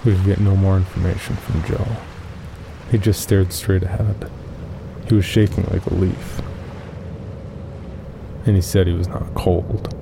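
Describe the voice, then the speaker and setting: A man with a gravelly voice reading a first-person horror story in a subdued, measured way. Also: low-pitched, flat delivery, background noise.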